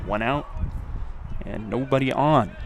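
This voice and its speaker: Male baseball play-by-play announcer, medium pitch, live radio commentary, rises on plays, clear outdoor background noise. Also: slow pace. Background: outdoor ballpark ambience.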